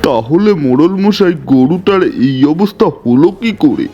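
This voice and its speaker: A man's voice: a little high, animated, normal speed, mellow, highs cut off, faint music behind, lightly acted. A man playing a cautious, anxious villager in a cartoon story, earnest and a little bossy. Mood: anxious, questioning. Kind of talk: Bengali cartoon story voice acting.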